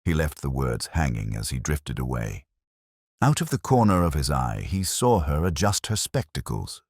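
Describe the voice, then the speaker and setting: A male narrator telling a detective mystery in an even, unhurried way. His voice is very deep, yet his pitch moves expressively from phrase to phrase. The recording is dry and close, with no room sound. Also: no echo. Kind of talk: scripted audiobook narration.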